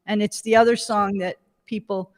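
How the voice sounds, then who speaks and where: A woman's voice: medium pitch, fairly even intonation, moderate pace. A woman, a singer-songwriter guest, chatting casually over a video call. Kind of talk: casual chat. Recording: reverberant room sound.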